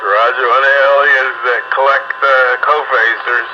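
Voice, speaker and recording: A man's voice: medium pitch, fairly even intonation, moderate pace. Folksy man calling over muffled, noisy radio. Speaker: caller on a CB radio roundup. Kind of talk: casual CB radio chat.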